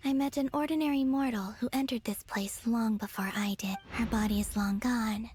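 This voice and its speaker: A woman voicing a serene, resolute young moon goddess in a video game, speaking softly in a measured, breathy way. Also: on the high side.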